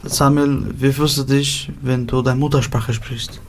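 A man's voice: medium pitch, level tone, a little slow, slight background noise. A man hosting an interview, reading out plain questions evenly.

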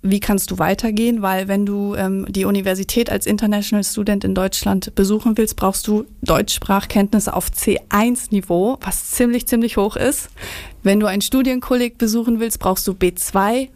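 A woman working as an advisor for young migrants who want to study, giving clear, detailed answers in an interview. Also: mid-range voice, steady tone, normal speed, a little mellow, dry recording.

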